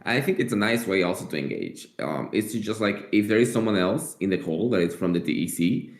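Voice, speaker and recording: Male, lowish voice, informal meeting talk, thinking aloud, reverberant room. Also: animated, a little fast. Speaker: working group participant. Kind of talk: casual meeting discussion. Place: online group call. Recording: echoey room sound.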